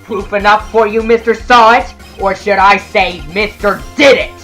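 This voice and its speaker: An actor playing an earnest, excitable young male defense lawyer with dramatic emphasis in a video game fandub. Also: medium pitch, normal speed, close to an everyday voice. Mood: triumphant, emphatic. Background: game music.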